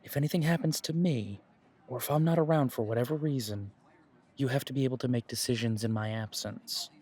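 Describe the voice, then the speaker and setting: A man playing a protective, dutiful werewolf pack leader in an audio drama, speaking in an earnest, measured way with dry humour. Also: mid-range voice, a little mellow, breathy edge, close to an everyday voice. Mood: steady, serious. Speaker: voice actor in audio roleplay. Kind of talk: scripted audio roleplay drama.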